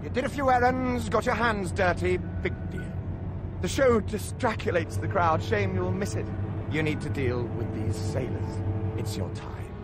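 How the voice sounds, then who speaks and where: A man's voice: high voice, lively intonation, measured pace. An actor playing a glib, domineering carpenter and showman in a video game, with a theatrical, dismissive manner. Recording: voice under loud game music.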